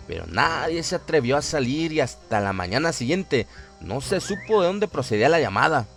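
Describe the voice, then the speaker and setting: Animated male horror storyteller over music. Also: mid-range voice. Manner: solemn, suspenseful storytelling. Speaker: narrator of a horror radio story. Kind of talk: dramatic scripted horror narration. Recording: music bed behind the voice.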